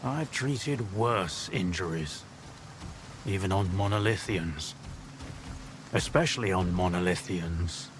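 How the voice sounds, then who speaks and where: Over noticeable background noise, a principled game doctor. He speaks slowly and deliberately in a lowish, calm voice with solemn weight. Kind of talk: dramatic video game dialogue.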